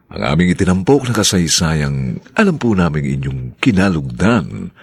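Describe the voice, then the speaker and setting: Very deep, gravelly Filipino radio announcer man. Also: no room sound. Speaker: radio drama host and announcer. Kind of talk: formal scripted closing announcement.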